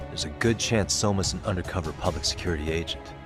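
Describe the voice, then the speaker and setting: An actor playing a cool, confident detective in a video game cutscene, wry, blunt and challenging. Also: male voice, sits low, fast talker, music in the background, lightly acted. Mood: grimly determined. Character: cool, confident male private detective, dogged and sardonic.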